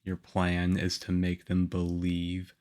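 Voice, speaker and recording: Male actor as aloof young swordsman mentor for ASMR roleplay: stern, flat, unhurried delivery, measured and harsh. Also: deep voice, smooth. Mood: reserved, grudging approval. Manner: harsh, measured, deadpan, with grudging praise. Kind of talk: ASMR roleplay acting addressed to the listener.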